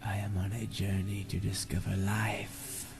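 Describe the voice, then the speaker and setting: A seductive male vampire, acted out by a man in an audio drama, whispering with slow, predatory intensity. The voice is low and breathy, drawing out each romantic phrase. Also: monotone, background noise. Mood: yearning, intense. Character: seductive, passionate male vampire stalker, smooth predatory lover.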